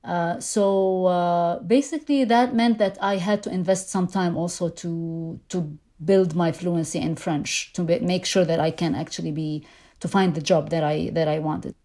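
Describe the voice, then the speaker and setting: Mellow-voiced woman, Arabic native speaker. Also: lowish voice, steady tone, normal speed. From Lebanon. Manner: thoughtful, many fillers and restarts. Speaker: interview guest, immigrant. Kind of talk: conversational interview.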